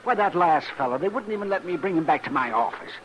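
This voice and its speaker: A crusty American frontier doctor with a high, fussy voice. He is excitable, sarcastic and full of complaint, in vintage radio western style on a noisy old recording. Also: quick pace. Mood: agitated complaining. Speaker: actor in a western radio drama.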